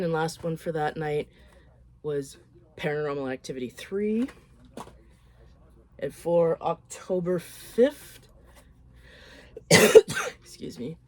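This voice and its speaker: A woman with a voice roughened by a cold, chatting frankly and loosely as she runs through a list of films. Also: on the low side, darkish tone. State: hoarse from a cold. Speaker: horror film vlogger. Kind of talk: casual movie recap.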